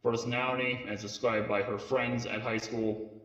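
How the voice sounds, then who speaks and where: A muffled, lo-fi recording with a roomy echo carries a man's voice at an ordinary pitch. He narrates a police case file as if for an old evidence tape, reading haltingly and sometimes tripping over a word. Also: little pitch movement, a bit bright. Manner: halting read-aloud delivery with stumbles over words. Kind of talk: scripted narration, mock police briefing tape in an analog horror story.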